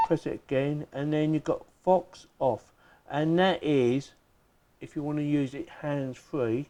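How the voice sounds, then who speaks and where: A man's voice: medium pitch, background noise. A middle-aged man with an English accent, giving a casual, chatty hands-on product review in plain, informal words.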